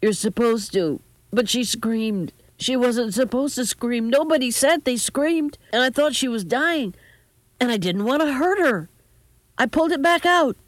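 A woman's voice: fairly high pitch, a little slow, no room sound, pitched above the performer's own voice, lightly acted. A woman voicing a distraught, foolish young grandson who pleads and makes excuses in a panicky way.

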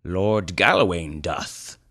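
A man's voice: low voice, big pitch swings, takes it slowly, a bit bright, no room sound, studio quality, exaggerated voice. A man voicing a wise old leader who speaks formally and earnestly. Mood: solemn.

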